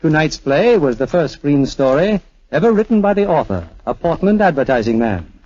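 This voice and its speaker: Man, fairly high voice, 1940s radio host, formal, polished, florid delivery, muffled dry recording. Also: sing-song, normal speed, a little mellow, dry recording. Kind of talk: 1940s radio hosting, scripted introduction.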